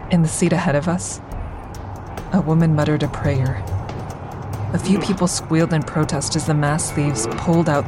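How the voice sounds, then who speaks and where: An actress playing a traumatized woman survivor in an audio drama, recounting her ordeal in a measured, deliberate way. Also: low voice. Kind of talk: full-cast audio drama monologue.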